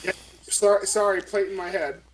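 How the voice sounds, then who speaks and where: A woman playing a tabletop game, chatting casually and role-playing, often halting and correcting herself. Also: mid-range voice, rough recording.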